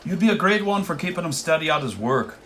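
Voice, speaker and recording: An actor playing a hard, impatient village blacksmith, scolding and commanding in a theatrical radio play style. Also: male voice, fairly high pitch, lively intonation, fast talker, a bit bright, a little hiss or hum. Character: hard, bossy Irish village blacksmith man, impatient taskmaster.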